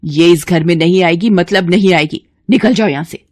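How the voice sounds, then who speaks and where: A woman voicing a scornful, scheming middle-aged mother-in-law in a cartoon, with a sharp, haughty, scolding way of talking. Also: lowish voice, normal speed, no echo, studio quality, close to an everyday voice. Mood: furious.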